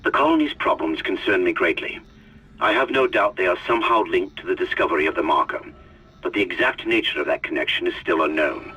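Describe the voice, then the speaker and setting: Sci-fi game officer, low forceful voice, formal, worried, slightly distant with faint noise. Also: male voice, expressive, breathy edge, heavily acted. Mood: grave. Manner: formal, measured, serious. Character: grave, worried starship officer recording a report. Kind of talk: video game audio log acting.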